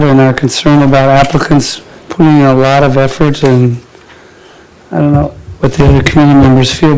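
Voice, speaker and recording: A man's voice: mid-range voice, a little mellow, rough recording. Man with a cold chairing a meeting. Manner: procedural, hesitant with fillers. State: recovering from a cold, voice weak. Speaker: committee chair. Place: council chamber.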